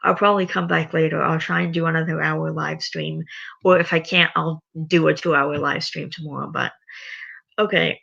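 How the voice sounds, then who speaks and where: A livestreamer reading a story aloud and chatting to viewers, voice going raspy. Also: mid-range voice, flat delivery, normal speed, sharp tone, muffled recording. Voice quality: voice getting raspy. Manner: rambling, self-correcting. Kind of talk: reading a story aloud, then casual chat.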